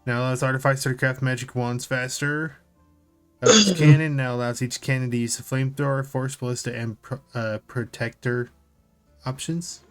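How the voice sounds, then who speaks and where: A man's voice: mid-range voice, little pitch movement, moderate pace. Casual man chatting over background music. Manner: informal, reads notes aloud then comments. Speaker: podcast co-host. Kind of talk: casual tabletop game discussion.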